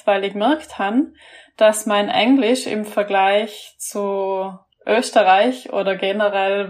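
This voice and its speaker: A woman in her thirties from South Tyrol with a South Tyrolean German accent, calmly walking through her career as an interview guest. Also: mid-range voice. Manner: measured, explains her career step by step. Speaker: creative art director, interview guest. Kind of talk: casual interview talk.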